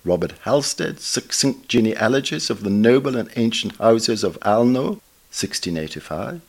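Low-pitched man reading a formal review. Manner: formal, measured, scholarly. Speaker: book reviewer. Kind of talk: scripted book review read aloud.

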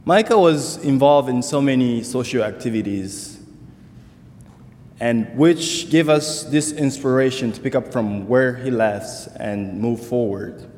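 A man from Congo giving an earnest church talk on scripture as a summer intern, speaking deliberately with some restarts. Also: mid-range voice, a little mellow, a little hiss or hum. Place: church sanctuary. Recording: long hall reverb.

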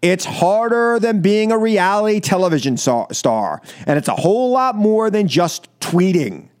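A high-voiced American man hosts a political radio show. He delivers his opinions as an emphatic, scolding monologue. His points come in short phrases that he repeats for force. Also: big pitch swings, moderate pace, darkish tone, clean tone. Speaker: radio talk show host.

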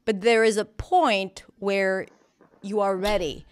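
A woman's voice: mid-range voice, steady tone, slow pace, smooth. A woman whose first language is Hebrew, a pronunciation teacher explaining her approach in an earnest, conversational interview. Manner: earnest, explanatory, with frequent restarts.

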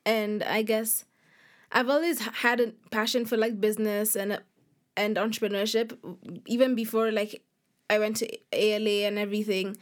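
A woman's voice: medium pitch, little pitch movement, moderate pace. A young woman from Kenya being interviewed as a guest, talking casually and a little hesitantly, often saying "like". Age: young adult. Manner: informal, hesitant, frequent "like". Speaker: podcast guest, young author.

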